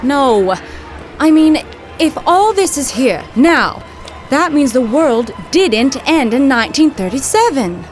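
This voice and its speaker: An actress playing a plucky, practical young woman from the 1930s in a comic audio drama, brisk and matter-of-fact. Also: high voice, big pitch swings, faint music behind, exaggerated voice. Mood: puzzled. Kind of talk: period audio drama comedy acting.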